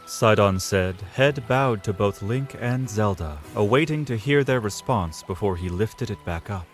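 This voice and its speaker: A man's voice: on the low side, a little slow, faint music behind. A man narrating a scripted fantasy audio drama in a dramatic storytelling style.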